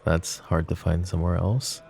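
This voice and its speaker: A man playing a flirty, possessive mafia boss in a roleplay ASMR drama, smooth-talking, teasing and protective. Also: sits low, level tone, normal speed, darkish tone, exaggerated voice. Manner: smooth-talking, teasing, pet names, sighs.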